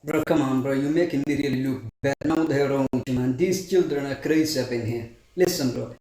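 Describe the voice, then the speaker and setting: Mellow-voiced man arguing in an echoey room. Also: mid-range voice, a little fast. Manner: argumentative, addresses listener as "bro". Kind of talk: casual video rant.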